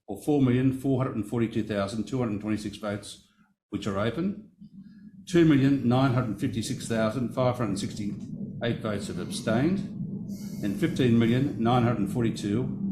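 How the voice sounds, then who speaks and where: A man with a lowish voice chairs a formal meeting in a meeting room, working steadily through resolutions. He reads long vote counts carefully and corrects himself when a figure slips. A faint room hiss sits under his voice. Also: warm tone, low-quality recording.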